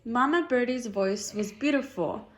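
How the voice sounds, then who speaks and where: A woman's voice: on the high side, a little slow. A woman reading a picture book aloud to a child, encouraging and often echoing answers back as questions. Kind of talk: dialogic storybook reading with questions.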